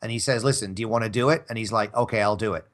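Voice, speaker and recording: A man's voice: lowish voice, rapid-fire, fairly bright tone. A man co-hosting a casual talk show, bantering about films in a rambling, wry, conversational way. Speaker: co-host of an audio drama talk show.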